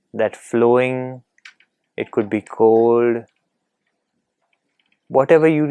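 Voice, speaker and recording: A man leading a guided meditation in a calm, gentle, unhurried manner, leaving long pauses between phrases. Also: medium pitch, clear, resonant tone, no room sound.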